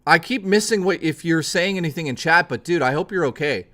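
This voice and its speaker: A young man streaming live, chatting casually and excitably with viewers and swearing often. He is hoarse and losing his voice. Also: fairly high pitch, fairly quick.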